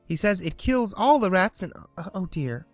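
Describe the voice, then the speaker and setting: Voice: medium pitch, lively intonation, normal speed, no echo, faint music behind, pitched above the performer's own voice, lightly acted. A reader voicing a polite, chatty young girl who stumbles over her words in a storybook reading. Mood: eager.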